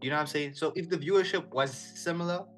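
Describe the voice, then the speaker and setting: A man's voice: fairly high pitch, fast, fairly bright tone. Laid-back man, slangy. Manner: laid-back, slangy, keeps saying "dog" and "one hundred". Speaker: podcast co-host. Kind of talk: casual chat. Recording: music playing faintly underneath.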